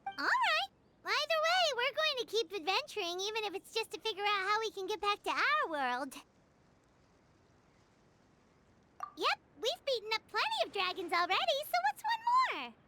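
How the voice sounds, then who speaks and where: An actress voicing a tiny, perky, childlike floating companion with a squeaky, chirpy voice in a fantasy video game. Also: female voice, sing-song, a little fast, heavy background noise. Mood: plucky. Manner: exclamatory, chirpy. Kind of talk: video game character acting.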